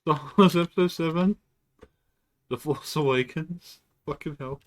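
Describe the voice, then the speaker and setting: A man streaming from home, chatting casually and rambling, who often clears his throat and swears. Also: a little high, measured pace. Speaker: live streamer. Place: indoors at home.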